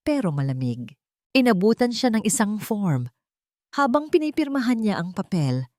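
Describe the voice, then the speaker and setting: A Filipino woman narrating a dramatic story as an audiobook, in a measured storytelling manner. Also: fairly low pitch, a little mellow, no echo, studio quality.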